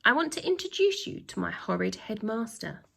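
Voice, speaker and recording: A woman's voice: fairly high pitch, very animated, moderate pace, vocal fry, bright, forceful tone, slightly rough, full character voice. A woman voicing an eager, mischievous young boy in a storybook, exclaiming his plans.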